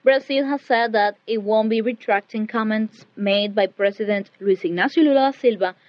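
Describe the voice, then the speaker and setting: A woman's voice: medium pitch, normal speed, no room sound. A woman reading scripted international news as a radio newsreader. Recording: dull, band-limited shortwave radio audio.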